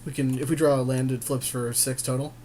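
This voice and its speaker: A man's voice: mid-range voice, little pitch movement, fairly quick, a little mellow, slight background noise. A man chatting informally as he co-commentates a card game he is playing. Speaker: podcast co-host and player. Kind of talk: casual co-commentary on a card game being played.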